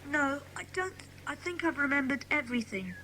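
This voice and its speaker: Earnest, anxious little boy played by a woman in a children's audio mystery; high, hesitant voice. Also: animated, brisk, bright, forceful tone, a little hiss or hum, poor audio. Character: timid young runaway boy, earnest and worried. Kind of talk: dramatised children's audiobook acting.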